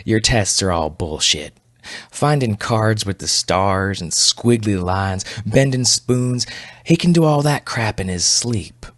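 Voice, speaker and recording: A man's voice: on the low side, expressive, slow pace, no room sound. A man voicing a weary, irascible old rural woman, crude, blunt and folksy, in an audio story. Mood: blunt, irritated. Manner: folksy, ungrammatical, blunt and foul-mouthed. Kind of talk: storybook character voice.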